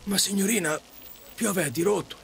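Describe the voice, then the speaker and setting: A man's voice: sits high, normal speed, gravelly, background noise. A man acting an earnest, polite and kindly taxi driver in an audio drama. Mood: hesitant, polite.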